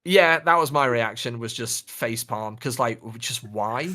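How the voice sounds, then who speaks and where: Male podcast co-host, mid-pitched, informal chat about screen and gaming culture. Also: unhurried, pushed and bright. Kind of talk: casual chat about films and games.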